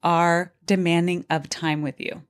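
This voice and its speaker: Frank lowish-voiced woman giving advice. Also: level tone, a little slow, clear, resonant tone. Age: 40s. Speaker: relationship expert guest. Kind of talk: casual podcast conversation.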